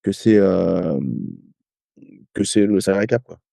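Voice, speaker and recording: A French man in his thirties, a rugby player guest, telling stories in casual podcast talk, halting with many hesitations and restarts. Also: low-pitched, steady tone, creaky, warm tone, no echo. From France. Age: 30s. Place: home in Ireland.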